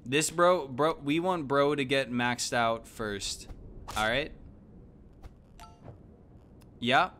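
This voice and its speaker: Dude in his 20s streaming a game, thinking out loud, slangy, bit of rumbly noise behind. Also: very slow, long pauses. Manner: animated, exclaiming, thinking aloud. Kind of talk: casual live game commentary with slang. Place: indoor room at home.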